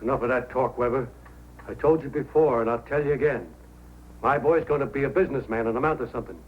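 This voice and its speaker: A man's voice: medium pitch, quick pace, natural voice. An actor playing a stern, practical middle-aged father in an old-time radio drama, firm and scolding. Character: stern, practical father who scorns dog racing. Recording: very narrow, tinny old radio recording.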